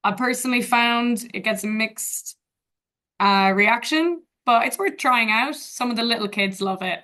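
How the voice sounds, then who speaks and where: Brief Young Irish woman, teacher guest, bright tone, relaxed and reflective. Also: fairly high pitch, a little slow. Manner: casual, thoughtful, friendly. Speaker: guest, English teacher in Vietnam. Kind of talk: webinar answers, casual.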